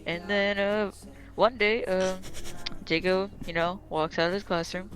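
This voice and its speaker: A man telling a rambling comic anecdote among friends, halting and often referring to himself in the third person. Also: high voice, level tone, fairly bright tone, low-quality recording. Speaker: storyteller. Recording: music playing behind the voice.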